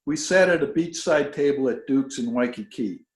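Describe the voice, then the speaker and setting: A man's voice: mid-range voice, expressive, moderate pace, close to an everyday voice. A man reading first-person detective fiction as a wry, understated female private eye. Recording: roomy, echoing video call audio.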